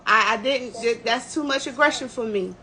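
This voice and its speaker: A woman's voice: on the high side, moderate pace, crowd noise. A woman telling a personal story on a livestream in a candid, emotional, emphatic way. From United States. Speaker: livestream host. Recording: clear background noise.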